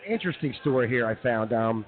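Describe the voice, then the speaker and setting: A man's voice: medium pitch, lively intonation, fast talker. A man co-hosting a radio show and casually recounting news stories, with a rambling, hesitant delivery. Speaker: co-host, police news segment. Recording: tinny phone-like sound.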